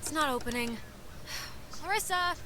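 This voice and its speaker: A young actress with an American accent playing a sarcastic, quick-witted teenage girl in a game, dry and sardonic. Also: sits high, expressive, very noisy. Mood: worried. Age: young adult.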